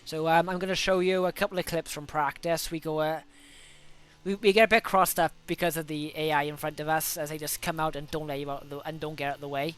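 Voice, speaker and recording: A young man with a Welsh accent and a fairly high, smooth, clear voice talks through his racing laps. He has a cold coming on. He chats loosely, with frequent ums and quick self-corrections. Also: fairly even intonation, fairly bright tone. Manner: chatty, self-correcting, many fillers. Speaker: gaming video host. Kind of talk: casual gameplay commentary.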